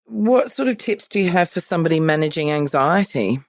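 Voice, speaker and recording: A woman's voice: deep voice, expressive, brisk, dry recording, studio quality. A woman interviewing a podcast guest in a supportive, affirming, conversational way.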